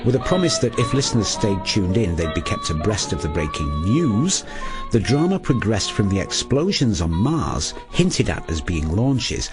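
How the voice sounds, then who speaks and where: A man's voice: fairly low pitch, a little mellow. A man delivering scripted documentary narration in a storytelling manner.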